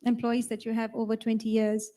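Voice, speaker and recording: Woman hosting, polite and measured, kinda high but mellow voice. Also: completely flat delivery. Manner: polite, measured, summarizing the guest's points. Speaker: host and interviewer. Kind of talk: university webinar interview.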